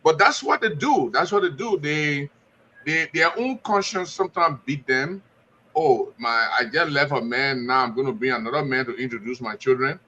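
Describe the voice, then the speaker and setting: Panelist: man, confident storyteller, bright tone, muffled faintly noisy audio. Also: a little high, lively intonation, normal speed. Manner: confident, storytelling with quoted dialogue. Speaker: studio guest panelist. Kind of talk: opinion talk.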